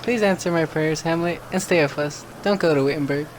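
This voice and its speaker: Someone acting polite pleading court figure, stage play, medium pitch, little bit of noise. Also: a little fast, lightly acted. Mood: gently urging. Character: earnest, pleading member of a royal court. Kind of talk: modernised stage drama dialogue.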